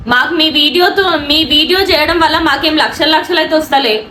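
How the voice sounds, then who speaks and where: A woman with a Telangana Telugu accent argues her side in an insistent, defensive way, dropping in English words. Her voice is high and sharp. She is recorded in an echoey room as she addresses a critic directly. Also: fairly quick. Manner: indignant, defensive, insistent rhetorical questioning; mixes English words into speech. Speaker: video creator and online anchor. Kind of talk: spoken response video addressed to a critic. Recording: echoey room with faint background noise.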